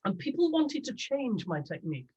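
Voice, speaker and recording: A man, an opera tenor, talking as a guest on a video call, halting and thoughtful with many restarts. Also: extremely high pitch, sing-song, darkish tone, highs cut off. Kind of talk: conversational interview over a video call.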